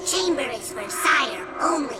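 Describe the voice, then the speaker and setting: A woman's voice: high voice, animated, unhurried, loud music behind the voice. A woman playing a stubborn captive creature with simple speech in a video game. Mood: defiant. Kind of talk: video game cutscene acting.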